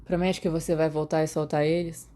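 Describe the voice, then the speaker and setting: A woman's voice: low voice, level tone, fairly quick, mellow, close to an everyday voice. A woman with a Brazilian accent voicing an ill, exhausted teenage boy who talks in a weary mutter. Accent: Brazilian Portuguese.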